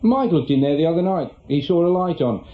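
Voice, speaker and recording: A man's voice: on the high side, expressive, a little fast, roomy sound, dull audio, lightly acted. Man as a lightish-voiced young English constable. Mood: gossipy. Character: eager, gossipy junior police constable. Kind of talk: British radio drama acting.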